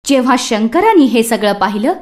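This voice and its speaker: A woman narrating a scripted mythological story for children in an expressive, dramatic way. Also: on the high side, normal speed.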